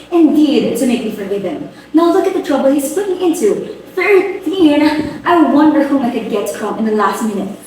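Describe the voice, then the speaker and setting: A woman's voice: high voice, a little mellow. A woman playing a fussy, flustered, status-hungry middle-aged society hostess in an amateur play reading, theatrical and bossy. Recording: very echoey room, distant mic, hiss.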